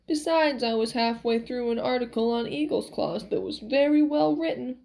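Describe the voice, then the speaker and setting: A woman's voice: fairly high pitch, moderate pace, creaky, a little mellow. A woman voicing a cranky, stubborn old man who haggles and grumbles in an audiobook story, brusque and colloquial. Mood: grumbling.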